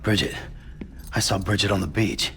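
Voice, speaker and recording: A man playing a gruff, blunt, world-weary courier hero in a video game cutscene. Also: on the low side, normal speed, pushed and bright. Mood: wary, terse. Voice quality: gravelly. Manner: curt, blunt, swearing.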